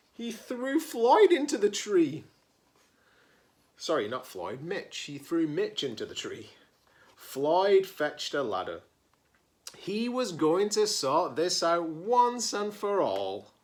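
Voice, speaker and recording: Male storybook reader, librarian style: highly varied pitch, slow delivery, dramatic pauses, playful chatty asides for children. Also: wildly swooping pitch. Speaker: teacher-librarian reading a picture book aloud. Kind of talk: animated children's read-aloud with chatty asides.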